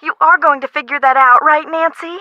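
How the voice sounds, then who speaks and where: A woman's voice: high voice, brisk, no echo, muffled recording, close to an everyday voice. An actress playing an emotive, chatty woman homeowner in an adventure video game. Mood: indignant, determined. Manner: emotive, expressive, colloquial. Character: frightened, dramatic woman homeowner, chatty and friendly. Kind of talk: game character dialogue acting.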